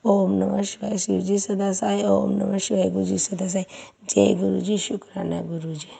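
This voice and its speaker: A hoarse woman sharing a devotional testimony, thanking and pleading with her guru between chants. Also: mid-range voice, level tone, moderate pace, dark tone. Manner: tearful pleading, repeated thanks.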